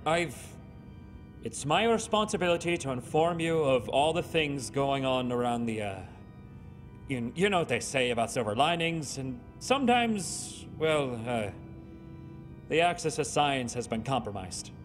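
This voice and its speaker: A man voicing a foul-mouthed, domineering high school valedictorian in a video game cutscene. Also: very animated, a little slow, faint music behind. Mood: nervous, stammering. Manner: domineering, swearing, menacing.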